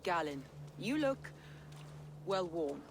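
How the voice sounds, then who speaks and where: A woman's voice: fairly low pitch, big pitch swings, slow, heavily acted. A proud female Viking war leader in a game cutscene. She speaks with formal, earnest authority, addressing others like a jarl rallying warriors, over a light bed of ambient noise. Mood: earnest, warm. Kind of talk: dramatic game cutscene acting.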